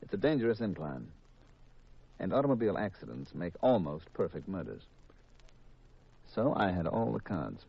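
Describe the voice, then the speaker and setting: Hard-boiled American murderer, menacing and courteous, deep voice, muffled vintage radio sound. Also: male voice, very animated, vocal fry, slightly rough, heavily acted. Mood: bitter confession. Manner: deliberate, hard-boiled, mock-polite. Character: cold, confessing killer, male insurance man, coolly menacing. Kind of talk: 1950s radio mystery acting. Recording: muffled, dull 1950s radio recording.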